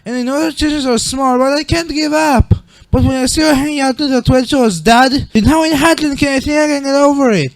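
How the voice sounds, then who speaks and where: An earnest teenage monster boy from a comic dub, performed by a man. The voice sits high and sounds guilt-ridden, with casual, halting phrasing. The recording is dry and close. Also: dry recording, rough recording. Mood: anguished.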